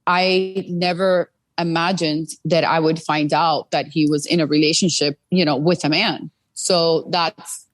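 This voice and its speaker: A woman's voice: low-pitched, fairly even intonation, measured pace, no echo. A middle-aged American woman telling her personal story as an interview guest, earnest and full of "you know" fillers. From United States.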